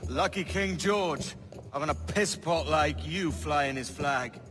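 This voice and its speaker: An actor playing a brash, roguish young Welsh pirate in a video game, cheeky and rough-spoken. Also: male voice, on the high side, slow pace, music in the background. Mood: angry, threatening. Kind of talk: video game dialogue acting.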